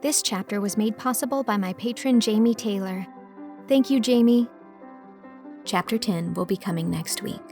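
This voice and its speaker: Woman narrator with a slightly creaky voice. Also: medium pitch, normal speed, a little mellow, faint music behind. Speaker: audiobook narrator and host. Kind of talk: scripted narration.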